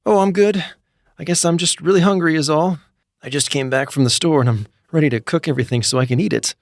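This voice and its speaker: A man voicing a kind, fidgety young man, polite and hurried, in a comic story. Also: on the high side, no echo, studio quality, voice pushed up, natural voice. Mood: nervous, covering.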